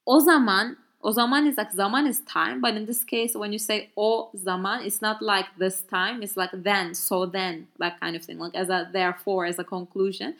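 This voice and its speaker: A woman teaching a language in English, which is not her first language. She talks in a loose, chatty way, full of fillers and restarts, at a middling pitch. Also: fairly even intonation, moderate pace, hardly any pauses, a bit bright. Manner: casual, chatty explaining with many fillers and restarts. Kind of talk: explaining a Turkish dialogue in English.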